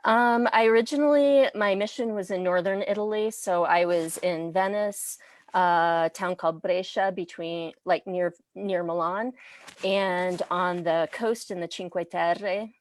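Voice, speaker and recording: A woman's voice: lowish voice, steady tone, unhurried. A woman from the US Midwest with an American accent, chatting casually as an interview guest and language instructor. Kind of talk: casual interview chat about personal background.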